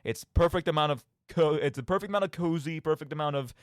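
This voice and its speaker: A man's voice: on the high side, fast talker, no room sound, studio quality. A man hosting a radio show, giving casual solo commentary on games in an emphatic, rambling way, often interrupting himself.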